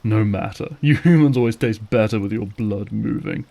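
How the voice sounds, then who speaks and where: A man's voice: fairly low pitch, moderate pace, vocal fry, warm tone. Man playing a theatrical, menacing undead predator. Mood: cold menace. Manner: formal, theatrical menace giving way to dread. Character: menacing undead male predator who feeds on humans, easily spooked. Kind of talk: audio drama acting.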